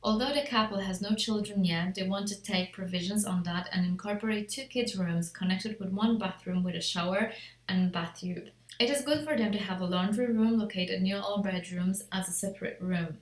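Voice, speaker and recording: A woman reads a scripted project presentation as an interior designer, in a measured, formal way. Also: mid-range voice. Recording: echoey untreated room.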